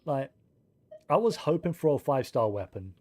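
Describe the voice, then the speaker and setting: Mellow-voiced man rambling over a game. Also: mid-range voice, very animated, normal speed, slight background noise. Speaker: game streamer. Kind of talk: casual gameplay commentary, thinking aloud.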